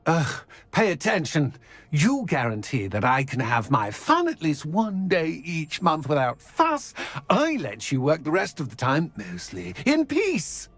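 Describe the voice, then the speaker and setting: High-pitched, theatrical, mischievous poltergeist. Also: male voice, huge pitch swings, a bit bright, heavily acted. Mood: exasperated. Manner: pompous, flowery wording with drawn-out dramatic delivery. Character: mischievous theatrical male poltergeist, gleefully chaotic and self-pitying. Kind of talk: theatrical video game character acting.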